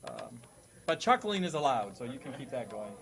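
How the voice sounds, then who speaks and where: A man hosting a comedy quiz as a mock schoolteacher, speaking with halting, playful formality. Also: mid-range voice, big pitch swings, vocal fry, a crowd in the background. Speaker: host and quizmaster playing a classroom teacher.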